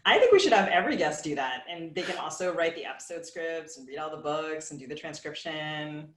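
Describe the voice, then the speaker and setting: A woman's voice: low-pitched, animated, a little fast, fairly bright tone, echoey room. A woman co-hosting a podcast, articulate and wry as she introduces and questions guests. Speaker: podcast co-host, novelist.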